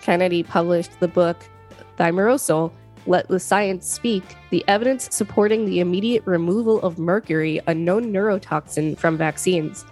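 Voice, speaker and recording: A woman's voice: on the low side, fairly even intonation, normal speed, darkish tone, soft background music. A woman co-hosting a podcast, reading an article aloud and adding casual commentary. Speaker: podcast co-host.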